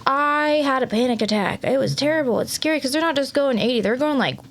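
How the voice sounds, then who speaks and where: A woman's voice: high voice, a little fast. A woman guest with a Midwestern accent, chatting casually and struggling with her R and S sounds. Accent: Midwestern American. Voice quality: trouble saying R and S sounds. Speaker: guest performer. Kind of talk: casual chat.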